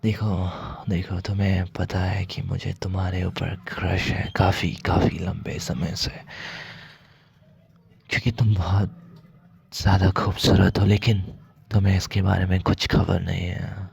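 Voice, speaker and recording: Man playing a gravelly, hesitant lovesick young mobster. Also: low voice, a little mellow, muffled recording, exaggerated voice. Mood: tender, confessing. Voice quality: gravelly, husky, breathy. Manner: hesitant, halting, confiding, slipping into whispers. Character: mafia boss's soft, lovesick son, apologetic and hesitant. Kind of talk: intimate ASMR roleplay monologue.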